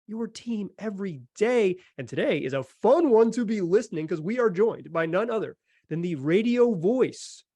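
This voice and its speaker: A man's voice: high voice, a little mellow, no room sound. An American man hosting a basketball podcast in a conversational style. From North Carolina, United States. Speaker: sports podcast host.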